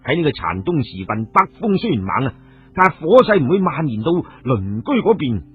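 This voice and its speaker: A man's voice: a little high, sing-song, brisk, slightly rough. A man with a Hong Kong Cantonese accent narrating an audio novel as a dramatic storyteller. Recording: tinny radio-like sound with music behind. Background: music.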